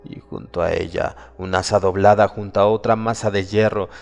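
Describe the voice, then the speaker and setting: A man narrating a horror audiobook in a dramatic, solemn and suspenseful manner, with deliberate phrasing. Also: on the low side, fairly even intonation, gravelly. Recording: long reverb, music behind. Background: music.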